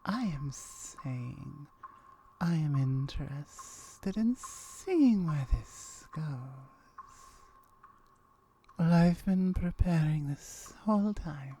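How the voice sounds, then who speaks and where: Woman voicing a slow, murmuring, wary gorgon woman. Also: deep voice, lively intonation, dark tone, a little hiss or hum, exaggerated voice. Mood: teasing, intrigued. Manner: slow, drawn-out syllables, breathy murmuring. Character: aloof, wary, lonely female gorgon, slyly flirtatious. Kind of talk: ASMR roleplay acting.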